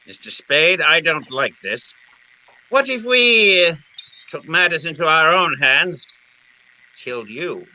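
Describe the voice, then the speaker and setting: An actor playing a genteel, wily criminal mastermind in an old-time radio drama, with florid and courteous phrasing. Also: male voice, fairly high pitch, very animated, slow, pauses often, pushed and bright, a little hiss or hum, exaggerated voice, rough recording. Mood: smoothly menacing. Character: genteel, wily older criminal mastermind, falsely jovial and polite.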